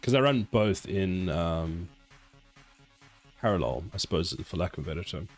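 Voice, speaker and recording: Low-voiced South African man on a live stream. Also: lively intonation, moderate pace, faint music behind. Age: 40s. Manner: reads chat aloud and answers, rambling and self-mocking. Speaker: game developer streamer. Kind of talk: casual live stream chat while coding.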